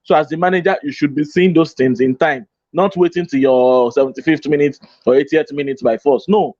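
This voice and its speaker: A man on a studio panel explaining his view of a football match in a measured, patient way, repeating key points for emphasis. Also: a little high, a little mellow, dry recording, dull audio. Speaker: football pundit.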